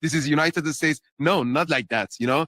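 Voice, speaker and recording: Bright earnest man with an Iranian accent. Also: a little high, dry recording, studio quality. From Iran. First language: Persian. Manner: halting, earnest, searching for words. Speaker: interview guest. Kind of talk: remote interview talk.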